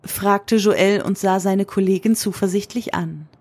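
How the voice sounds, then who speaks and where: A woman's voice: mid-range voice, fairly even intonation, a little slow, darkish tone, husky, no room sound. A woman narrator delivering scripted prose for an audio drama.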